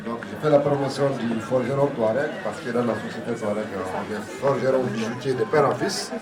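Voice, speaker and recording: A man from Niger presiding over an artisan cooperative, speaking in a measured, formal way. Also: mid-range voice. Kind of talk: exhibitor introduction. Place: crowded trade fair. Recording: distant mic, loud crowd.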